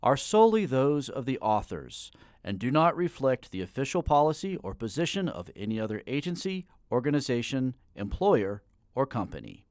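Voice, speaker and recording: A man with a middle-pitched, very varied voice reads a scripted radio disclaimer as announcer. The sound is dry, with no room echo. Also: very animated, moderate pace, darkish tone.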